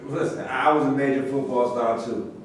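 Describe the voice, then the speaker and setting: A man's voice: medium pitch, steady tone, normal speed, lightly acted. A man in a staged play reading, playing a cool, slangy and self-justifying football star. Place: echoey indoor room at a staged reading. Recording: distant, very echoey room with noise.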